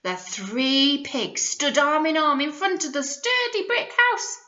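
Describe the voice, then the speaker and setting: A woman's voice: high-pitched, big pitch swings, slow pace, sharp tone. A woman, a teacher reading a storybook expressively to young children and prompting them with simple questions. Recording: echoey room, slightly muffled.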